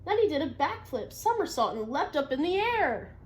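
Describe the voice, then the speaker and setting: A woman reading a picture book aloud as a teacher, expressively, pausing to ask questions and confirm answers. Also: high-pitched, very animated. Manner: instructive, checking in with listeners by questions and affirmations. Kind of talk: interactive children's read-aloud, expressive storybook narration with questions. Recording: noticeable background noise.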